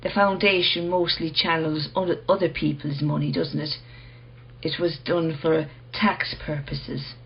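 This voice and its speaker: An actress playing a calm, enigmatic questioner in a radio play, measured, knowing and quietly insistent. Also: female voice, sits low, highs cut off. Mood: quietly challenging.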